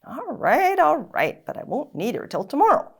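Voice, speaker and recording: A woman plays a businesslike butcher from a children's story. He is blunt and haggling, his pitch jumping about in a lively way. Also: moderate pace, creaky, full character voice. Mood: conceding, insistent. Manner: blunt, bargaining.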